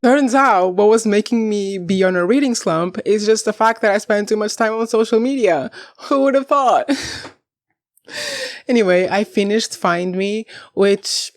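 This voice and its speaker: A young woman from Portugal chatting casually to camera about books, rambling and animated, laughing often and swearing freely, her voice on the deep side. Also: unhurried, dry recording. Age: young adult. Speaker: book vlogger.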